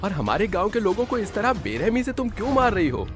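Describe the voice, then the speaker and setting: A man's voice: high-pitched, wildly swooping pitch, quick pace, over music, pitched above the performer's own voice. A man voicing an indignant young village man making demands in a horror cartoon. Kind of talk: cartoon dialogue.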